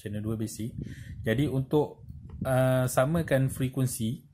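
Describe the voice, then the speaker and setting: A man with a Malaysian accent giving an informal hands-on tutorial, explaining step by step in a halting way with frequent check-in tags. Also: medium pitch. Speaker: presenter of a tutorial. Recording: clearly noisy amateur recording with clicks.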